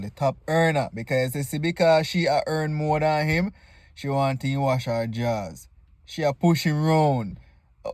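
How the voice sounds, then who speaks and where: Jamaican guy doing casual funny reaction commentary, highish voice, slangy, dry sound. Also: dry recording. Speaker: video host.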